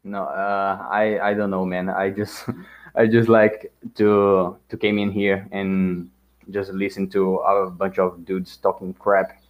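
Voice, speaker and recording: Halting man with a Portuguese accent. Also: deep voice, flat delivery. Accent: Portuguese-speaking. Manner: hesitant, halting, laughs often. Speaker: caller. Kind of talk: casual chat. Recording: dull lo-fi voice call.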